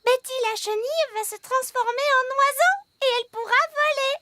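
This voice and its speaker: Tiny high-pitched fairy girl. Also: a little fast. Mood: curious. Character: little fairy princess, curious and cheerful girl. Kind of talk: animated series dub acting.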